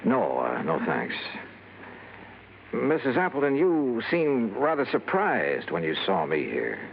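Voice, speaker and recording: An American actor playing a sharp, wry insurance investigator in 1950s radio noir style, with clipped delivery. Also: male voice, medium pitch, wildly swooping pitch, slow, creaky, a little hiss or hum, exaggerated voice. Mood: suspicious, probing. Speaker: lead actor and narrator. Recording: dull old radio recording, loud music.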